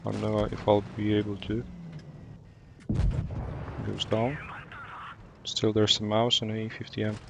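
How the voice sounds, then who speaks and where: A man from Poland with a Polish accent, streaming a game and chatting with viewers in a relaxed way, often pausing to think. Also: on the low side, gravelly, background noise. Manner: relaxed, thinking aloud with fillers. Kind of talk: gameplay commentary and chat replies.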